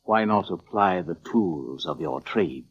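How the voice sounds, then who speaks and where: An actor playing a slick, theatrical, quick-tempered male musician in 1940s radio style, suave and mannered. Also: sits low, expressive, heavy background noise. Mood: guarded, polite. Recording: muffled vintage radio recording.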